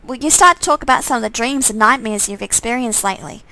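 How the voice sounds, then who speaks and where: A woman narrates a story game in a high voice with a noticeable creak. She reads haltingly, tripping on words and going back to fix them, in a dry, close-sounding recording. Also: pushed and bright, no room sound, rough recording. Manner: halting, stumbles over words and corrects herself. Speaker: streamer reading a visual novel aloud. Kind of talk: live read-aloud narration with character dialogue.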